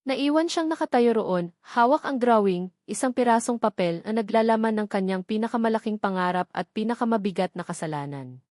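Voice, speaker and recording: Filipina narrator, tuyong malapitang tunog. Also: female voice, a little high, fairly quick, a little mellow, no room sound, clean studio sound. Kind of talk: scripted dramatic love story narration.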